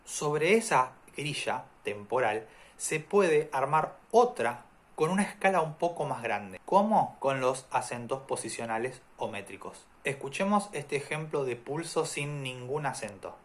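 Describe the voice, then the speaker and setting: A man teaching music theory in a calm, methodical video lesson, walking through examples step by step. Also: medium pitch, unhurried, few pauses.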